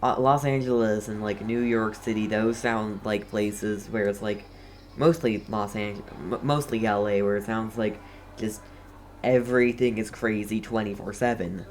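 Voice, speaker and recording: A man giving casual game commentary in a halting, rambling way. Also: lowish voice, steady tone, slight background noise. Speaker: gamer playing through a story quest. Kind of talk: casual game commentary, reading dialogue aloud.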